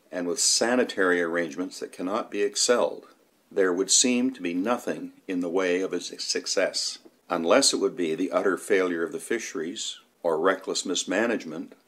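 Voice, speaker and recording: A man's voice: deep voice, moderate pace, a bit bright. A man reading scripted documentary narration, including old newspaper reports.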